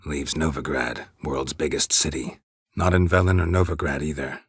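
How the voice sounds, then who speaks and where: A man playing a gruff, stoic, sardonic monster-hunting mercenary in a video game, terse and deadpan with a gravelly voice. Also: very deep voice, moderate pace, no echo, clean studio sound, full character voice. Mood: dry, guarded. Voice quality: gravelly, husky. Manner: terse, deadpan, clipped sentences. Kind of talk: video game dialogue acting.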